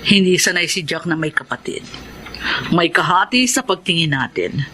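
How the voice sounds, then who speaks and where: A woman acting a patient, kind-hearted mother and wife in a Filipino radio drama, gentle and soothing. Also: fairly low pitch, lively intonation, moderate pace, slight background noise. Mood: tender, reassuring.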